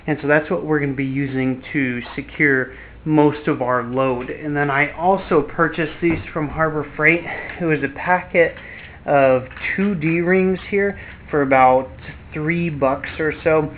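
A man's voice: a little high, a little slow. Man with a tinny, phone-like sound. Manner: relaxed, explanatory, with fillers and restarts. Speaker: DIY channel host. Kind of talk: casual walkthrough vlog. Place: inside an empty cargo trailer. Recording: tinny lo-fi audio with faint background noise.

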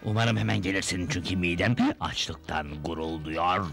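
A man's voice: low-pitched, normal speed, gravelly. A man playing a greedy, scheming cartoon wizard who fakes kindness in an oily, theatrical, mock-sweet manner. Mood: sly, gloating. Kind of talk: animated cartoon dubbing. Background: background music.

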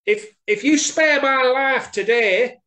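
Man putting on a squeaky, timid little girl mouse. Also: takes it slowly, pushed and bright, exaggerated voice. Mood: earnest. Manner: stammering, quivering. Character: tiny timid female mouse, earnest and brave. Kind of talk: animated children's storytelling.

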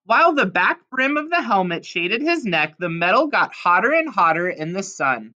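A woman's voice: medium pitch, very animated, normal speed, bright, forceful tone, muffled recording. A woman reading a children's storybook aloud expressively, with dramatic emphasis on key words. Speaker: storybook reader.

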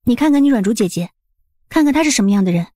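A woman playing a confident, curious young mistress of a household in an audiobook drama, composed and gently teasing. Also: a little high, animated, racing, no room sound, clean studio sound. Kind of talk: Chinese audiobook drama acting.

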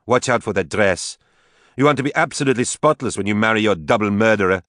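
A man's voice: on the high side, normal speed, dry recording, studio quality, voice pushed up, natural voice. A man voicing a drunk, defiant, bitter young woman in an audiobook, sarcastic and theatrical. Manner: sarcastic, theatrical, loud declaiming.

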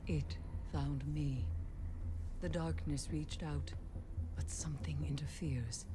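Low, grave mystic seer. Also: female voice, steady tone, noisy background. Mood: grave, ominous. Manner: solemn, cryptic, slow and deliberate. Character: grave, fervent female mystic seer, haunted and defiant. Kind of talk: dramatic video game cutscene acting.

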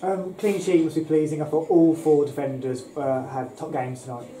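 Male club interviewer, asks post-match questions, warm tone, echoey room. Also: on the high side, level tone, normal speed. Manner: opens questions with um. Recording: echoey room with light hiss.

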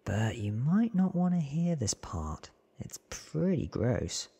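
A man voicing an earnest, awed young man from a storybook in a hushed, breathy ASMR whisper. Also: on the high side, very animated, voice pushed up, exaggerated voice. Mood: grim, earnest. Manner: hushed, drawn-out, earnest telling.

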